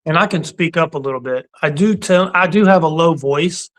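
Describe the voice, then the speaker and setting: A man's voice: a little high, normal speed. A middle-aged man and Army veteran who co-hosts a casual conversation, making his points bluntly and repeating them for emphasis, with frequent laughs. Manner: laughs often between points. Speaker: co-host; Army warrant officer veteran.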